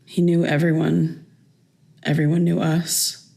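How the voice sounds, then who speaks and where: A daughter in her thirties with a somewhat low voice. She talks informally and haltingly, with a raw, insistent edge. The delivery is naturalistic audio drama. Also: level tone, gravelly, dark tone. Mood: confiding, subdued. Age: 30s. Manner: conversational, hesitant, informal. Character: wounded thirty-three-year-old daughter, insistent and raw.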